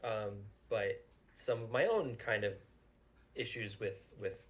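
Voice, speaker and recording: A man giving casual commentary, speaking in a halting, self-reflective way. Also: lowish voice, animated, poor audio. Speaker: writer and actor of the audio drama.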